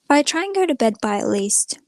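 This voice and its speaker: High-voiced young woman chatting on a livestream. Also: sing-song, fast, a little mellow, no room sound, clean studio sound. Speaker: live streamer. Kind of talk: casual chat to viewers on a livestream.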